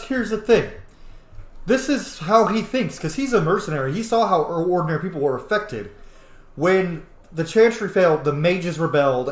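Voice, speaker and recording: Animated, swearing young man playing a game. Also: sits high. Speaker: roleplay game streamer. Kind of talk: casual game commentary. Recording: roomy room with faint noise.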